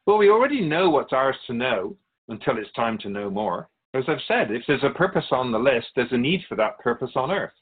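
A man playing a wise, gentle, cryptic otherworldly keeper of souls in a radio drama, speaking in a measured, courteous, philosophical way. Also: mid-range voice, very animated, slightly rough, narrow, tinny audio. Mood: cryptic, teaching.

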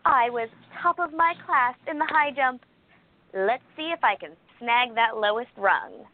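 A woman playing an eager, excitable female sidekick detective in a radio play, with a brisk theatrical delivery. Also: fairly high pitch, noisy background, close to an everyday voice. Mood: eager, playful. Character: eager, indignant, chatty female sidekick sleuth. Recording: thin, phone-like band-limited audio.

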